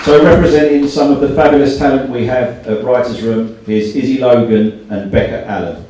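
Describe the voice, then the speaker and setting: A man's voice: medium pitch, fairly even intonation, normal speed, noisy background. A man hosting a live event, giving a prepared speech and reading poetry to an audience. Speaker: event host. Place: indoor live launch event. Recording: very echoey hall, far from mic.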